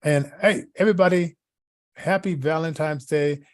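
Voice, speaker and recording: A man with a slightly high voice chats casually on a podcast. He rambles and gropes for words, often doubling back on himself, and the sound is close and dry with no room echo. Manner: halting, searching for words, repeats himself. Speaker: podcast co-host.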